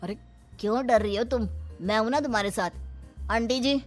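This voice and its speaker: A woman's voice: fairly high pitch, normal speed, faint music behind, lightly acted. A woman voicing a cocky, protective young boyfriend who talks challengingly in a dramatized family story.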